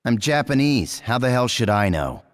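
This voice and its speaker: A man's voice: mid-range voice, big pitch swings, normal speed, dry recording, clean studio sound, lightly acted. An actor playing a blunt, irritable man in a video game cutscene, with a curt and challenging delivery. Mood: blunt, irritated.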